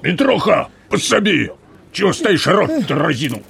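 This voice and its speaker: A bossy, eager peasant man in a radio drama. His voice is gravelly and leaps widely up and down as he calls out, with faint crowd noise behind. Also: sits high, sing-song, measured pace, heavily acted. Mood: urgent, bossy. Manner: rustic, calling out. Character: eager, bossy peasant fellow lending a hand. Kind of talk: Soviet radio theatre acting.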